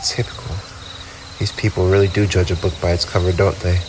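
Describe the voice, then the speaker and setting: Man acting a low-voiced brooding vampire, night crickets behind. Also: little pitch movement, brisk, darkish tone, slight background noise, close to an everyday voice. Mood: defensive, haughty. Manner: wistful, formal, theatrical phrasing with sighs. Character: lonely, brooding tsundere male vampire, centuries old, wounded pride. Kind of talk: ASMR roleplay monologue addressed to the listener. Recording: night ambience with crickets and owls.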